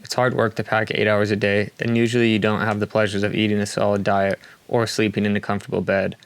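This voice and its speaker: Low, flat, gravelly male narrator. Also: no echo. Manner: calm, measured, reflective. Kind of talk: scripted voice-over narration.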